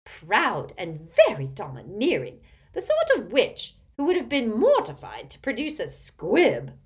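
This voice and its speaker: A woman's voice: medium pitch, wildly swooping pitch, measured pace, pitched above the performer's own voice. A woman voicing a haughty, spiteful, tipsy old witch aunt in a storybook, cackling and screechy, with an emphatic gossipy manner. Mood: haughty, relishing.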